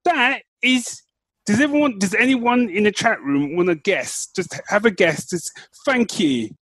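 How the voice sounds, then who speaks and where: A man's voice: sits high, expressive, measured pace, fairly bright tone, no room sound. A British man co-hosting a podcast in casual, playful, slangy and teasing banter. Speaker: podcast co-host.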